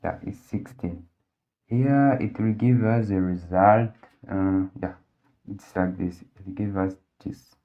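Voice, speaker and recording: A man's voice: low voice, fairly even intonation, slow. A man whose first language is Portuguese, working through a physics problem step by step as a tutor, thinking aloud with many restarts and fillers. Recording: dull lo-fi audio.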